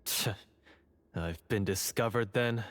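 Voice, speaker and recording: A man's voice: lowish voice, slow pace, a bit bright. Bitter, scornful male fantasy guard. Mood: cool, menacing. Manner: theatrical, scornful.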